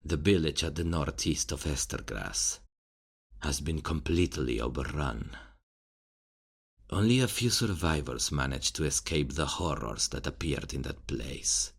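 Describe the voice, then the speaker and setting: A man playing a grave knight in a fantasy audio roleplay, speaking in a solemn, formal and deliberate way. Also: a bass voice, gravelly, breathy edge, heavily acted. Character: grave knight reporting war to the royal council.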